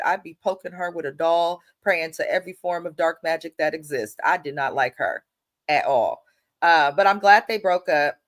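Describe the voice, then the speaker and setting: A young woman hosting a casual TV recap, congested and a bit nasal from allergies, blunt and animated, swearing freely. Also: on the low side. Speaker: host.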